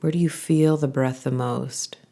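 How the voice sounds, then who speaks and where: A woman guiding a body scan meditation, giving calm, gentle instructions in an unhurried way with pauses. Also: rumbling low. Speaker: meditation guide.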